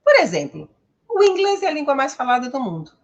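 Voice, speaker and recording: A woman's voice: sits high, wildly swooping pitch, brisk, dull audio. A woman with a Brazilian accent speaking as an English teacher and host, giving an instructional, promotional talk in a didactic, emphatic manner with frequent tag questions.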